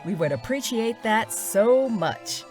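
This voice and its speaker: A woman's voice: fairly high pitch, animated, slow, soft background music. A woman announcer reading scripted credits and notices for a fiction podcast.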